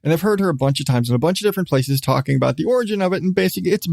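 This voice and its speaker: A middle-aged man hosting a casual solo podcast, talking in a rambling, halting way with repeated restarts. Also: fairly high pitch, expressive, vocal fry, darkish tone, dry recording, clean studio sound. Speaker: podcast host.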